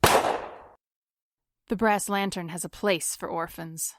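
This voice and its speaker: A woman's voice: lowish voice, fairly even intonation, takes it slowly, dry recording, clean studio sound. A woman acting a cool, commanding, glamorous vigilante in a pulp radio drama, stern and self-assured with clipped delivery. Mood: cool, confrontational.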